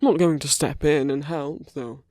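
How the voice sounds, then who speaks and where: A man playing a protective, quietly possessive villain in an intimate ASMR roleplay, speaking in a hushed, halting, deliberate way with murmured asides. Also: fairly high pitch, steady tone, no room sound, studio quality, lightly acted. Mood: calm, wary. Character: smooth-talking male villain, protective, coaxing, quietly possessive.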